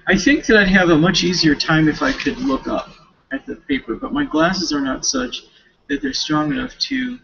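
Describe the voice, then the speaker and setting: A man giving a casual, rambling recap as a student, talking half to himself in informal, slangy, halting phrases with self-corrections on a dull home recording. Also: sits high, very animated, gravelly, fairly bright tone, slight background noise. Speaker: student reading a novel for a class paper.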